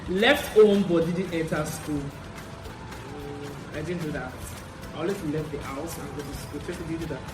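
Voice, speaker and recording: A woman's voice: low voice, normal speed, over music. A young woman with a Nigerian accent tells personal stories in a casual, chatty vlog style, repeating phrases for emphasis. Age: young adult. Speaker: vlogger. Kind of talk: casual confessional storytelling. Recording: echoey room with clear background noise.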